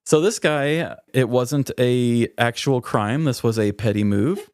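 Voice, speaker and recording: A man's voice: medium pitch, unhurried, a little mellow, smooth, no room sound, clean studio sound. An American man co-hosting a comedy podcast, telling stories in a casual, rambling, conversational way. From US Midwest. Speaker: podcast co-host.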